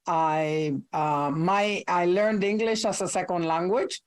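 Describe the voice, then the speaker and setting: An older woman whose English carries a Puerto Rican Spanish accent, a native Spanish speaker. Her voice sits somewhat low, and she takes her time, choosing each word with deliberate pauses in formal panel remarks. The sound comes through a video call. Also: clean tone. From Puerto Rico. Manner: measured, deliberate, with thoughtful pauses. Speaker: ambassador and panelist.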